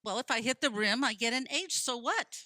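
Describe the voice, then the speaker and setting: A woman's voice: on the high side, expressive, brisk, sharp tone, no echo. An actress in a radio play playing a skeptical, stubborn, gloomy woman who questions and argues. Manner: querying, argumentative.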